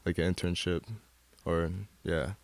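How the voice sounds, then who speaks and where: American man, low smooth voice, laid-back rambling chat, dry close sound. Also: flat delivery, a little slow, no echo. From Michigan, United States. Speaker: guest artist. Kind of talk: casual studio interview chat.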